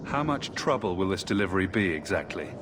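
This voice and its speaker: A man's voice: very animated, brisk. An actor playing a guarded, pragmatic male adventurer in a fantasy game, speaking firmly and tersely with skepticism. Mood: skeptical. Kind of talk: dramatic fantasy game acting. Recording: very loud background ambience and rumble.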